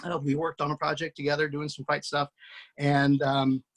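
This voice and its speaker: A man's voice: a little high, normal speed. Casual guy hosting a script read, reads the scene directions, lots of ums. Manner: relaxed, with fillers and laughs. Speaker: writer and host. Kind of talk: introducing cast and narrating a screenplay table read.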